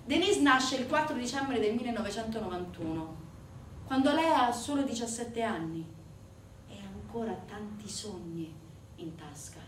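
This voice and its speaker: A woman's voice: on the high side, expressive, normal speed. A woman stage actress delivering dramatic scripted narration with emphatic, swinging storytelling. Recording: roomy, distant stage recording with faint noise.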